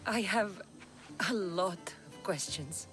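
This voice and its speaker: A young Greek mercenary woman, speaks in a medium-pitched voice, terse and resolute. She sounds set on vengeance. Music plays clearly behind this video game drama. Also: slow, a bit bright. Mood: guarded, searching. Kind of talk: video game cutscene acting.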